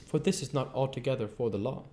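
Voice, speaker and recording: A man voicing an elderly foreign professor, speaking in earnest, halting phrases at a raised pitch. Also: warm tone, close to an everyday voice.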